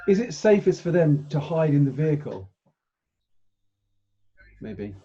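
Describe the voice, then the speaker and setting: A man's voice: fairly high pitch, big pitch swings, unhurried, dark tone, breathy edge. A man talking casually as a tabletop game player, on a slightly lo-fi recording.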